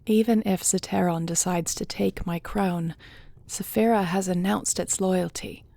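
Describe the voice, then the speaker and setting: A woman playing a dragon queen and devoted wife in an audio roleplay, speaking in a formal, measured, earnest way. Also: lowish voice, steady tone, creaky, warm tone, dry recording. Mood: reassuring, resolute.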